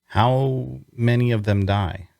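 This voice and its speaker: A man's voice: medium pitch, slow, vocal fry, a little mellow, no echo. A man playing a curious, wounded captive who asks questions hesitantly in a fantasy drama reading. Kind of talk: dramatic fantasy table read.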